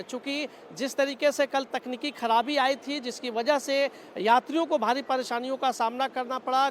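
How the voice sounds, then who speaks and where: A man giving an on-location news report in a repetitive, semi-improvised way, recorded outdoors. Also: extremely high pitch. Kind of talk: live-style on-location reporting. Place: outdoors at an airport. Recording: faint outdoor traffic noise.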